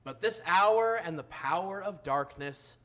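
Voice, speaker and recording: Man, hoarse, high voice, preacher, halting delivery with many fillers. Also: animated, a bit bright. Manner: halting, frequent uh fillers and restarts. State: hoarse, rough voice from illness. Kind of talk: sermon. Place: church.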